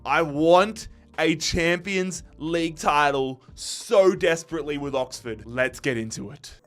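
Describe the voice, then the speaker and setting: A young man, commentating his football game live, shouting at chances, his voice going hoarse. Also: on the high side, unhurried, soft background music. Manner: shouts and groans at every chance. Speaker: gaming YouTuber.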